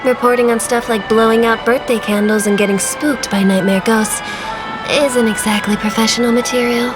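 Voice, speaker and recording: A woman plays an earnest young reporter who recounts things plainly, her voice sitting fairly high. Game music plays clearly underneath. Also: steady tone, normal speed, natural voice, low-quality recording.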